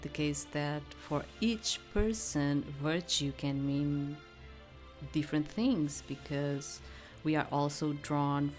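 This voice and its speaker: Woman gamer chatting over a playthrough, lowish voice, rambly, faint music behind. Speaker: let's play host. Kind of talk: casual gameplay commentary with read-aloud dialogue.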